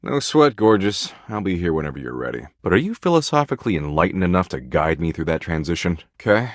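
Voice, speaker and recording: A man's voice: deep voice, very animated, brisk, dry recording, clean studio sound. A man voicing a video game embodiment of existential dread, a jaded, foul-mouthed slacker with a flippant, laid-back, slangy drawl. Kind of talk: video game character voice lines.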